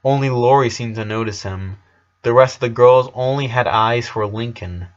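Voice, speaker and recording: A man's voice: mid-range voice, normal speed, lo-fi sound. A man reading a story aloud as narrator, in an amateur scripted style.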